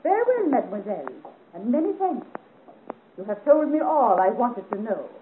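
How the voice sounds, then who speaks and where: A woman's voice: on the high side, very animated, moderate pace, background noise. An actress playing a proud, ambitious marchioness in her thirties with an imperious, grand manner in a 1950s radio serial. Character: haughty ambitious 37-year-old marchioness, royal favourite of France. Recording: muffled phone-like old radio recording.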